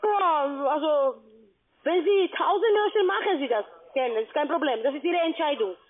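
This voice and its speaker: A non-native German speaker, a woman with a foreign accent, giving a halting phone sales pitch in simple phrases with check-back questions. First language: not German. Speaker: telephone sales agent for an investment scheme. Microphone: telephone. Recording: tinny, narrow phone line.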